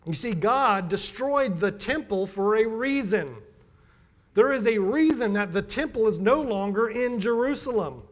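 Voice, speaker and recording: A middle-aged American man giving a sermon as a pastor, emphatic and deliberate in his delivery. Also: high-pitched, lively intonation. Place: church pulpit, indoors.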